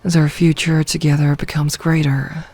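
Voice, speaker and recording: A woman playing a possessive, commanding female werewolf leader in an ASMR audio roleplay, with deliberate, formal phrasing. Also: sits low, flat delivery, a little mellow. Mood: tender, adoring.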